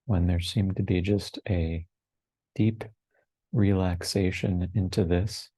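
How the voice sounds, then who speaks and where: A man in his forties leads a guided meditation, speaking very slowly in short, hushed phrases. His voice is low and husky, with long unhurried pauses in between. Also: steady tone, no room sound. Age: 40s. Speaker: meditation teacher. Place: online retreat over video call, dry close sound.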